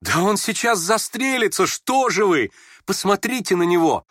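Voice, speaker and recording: A man's voice: high-pitched, animated, moderate pace, fairly bright tone, no echo, studio quality, pitched above the performer's own voice. A man voicing a frightened, impulsive young woman with urgent, pleading exclamations in a story reading. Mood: terrified pleading.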